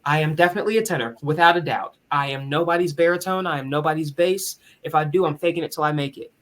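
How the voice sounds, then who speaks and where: Man, tenor voice, on the higher side, earnest, reflective, candid interview guest. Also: fast talker, fairly bright tone. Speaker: guest musician and conductor. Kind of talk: conversational interview.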